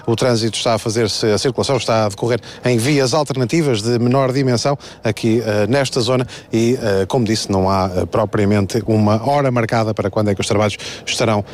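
A male reporter with a European Portuguese accent talks quickly, filling gaps with hesitant "eh" sounds. He reports live from the road. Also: on the low side, a little mellow, slight background noise. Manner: fast, with frequent filler hesitations. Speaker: field reporter. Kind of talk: live on-scene news report. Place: outdoors at a roadside accident scene.

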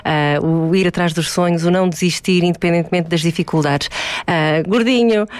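A woman's voice: lowish voice, normal speed, a little mellow, no echo. A woman hosting a TV interview in a studio, affirming and encouraging her guest. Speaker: TV show host. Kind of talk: casual interview chat.

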